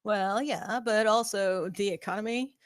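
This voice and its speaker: A woman's voice: mid-range voice, measured pace, no echo, lightly acted. A woman playing a sardonic, self-assured young office worker at a screenplay table read, with a wry, dry manner.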